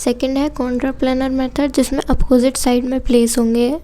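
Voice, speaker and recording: Indian lady doing a simple explainer lesson, high mellow voice, goes point by point. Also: flat delivery, a little fast. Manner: simple, point-by-point explaining with English technical terms mixed in. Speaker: physiotherapy lecturer.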